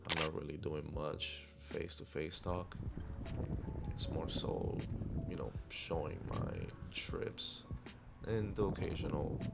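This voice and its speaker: A man with a deep voice narrating his own vlog in a laid-back, rambling voiceover. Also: slow pace, gravelly, loud music behind the voice. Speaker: vlogger. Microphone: clip-on wireless mic.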